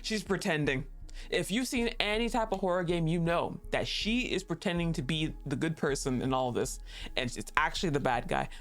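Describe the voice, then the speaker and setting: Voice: mid-range voice, moderate pace, soft background music. A game streamer giving casual, playful livestream commentary, reacting aloud to the game and chatting with viewers.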